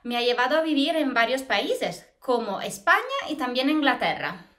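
A woman in her thirties from Italy with an Italian accent, a postdoctoral researcher explaining astronomy to school students in an expressive, lively way, recorded in a small plain room by a camera a few feet away. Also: a little high, normal speed. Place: small plain indoor room against a wall. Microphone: camera a few feet away, head to waist framing.

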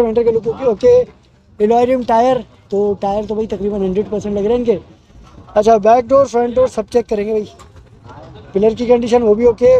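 A man's voice: high-pitched, mellow. A young man from Pakistan, walking through an outdoor car market and chatting into a handheld mic as he shows off a car. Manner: chatty, repeats "bhai" and "okay". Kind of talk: walkthrough commentary. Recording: dull lo-fi sound.

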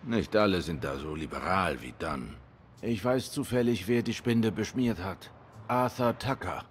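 An actor playing a middle-aged private detective in a noir game, questioning in a measured, dry manner. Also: male voice, sits low, slight background noise. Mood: cool, probing. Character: cool, probing male cat private detective, middle-aged. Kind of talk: German game dub, noir dialogue.

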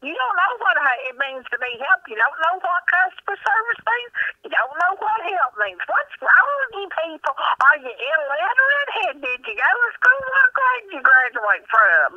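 A woman's voice: extremely high pitch, big pitch swings, moderate pace, no room sound. An older woman complaining on a phone call in an indignant, blunt and repetitive way, heard through a muffled speakerphone. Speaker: prank call recipient.

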